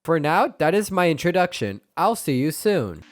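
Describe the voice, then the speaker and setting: A man reading scripted mystery narration for a horror series in an explanatory, measured way. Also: on the high side, big pitch swings.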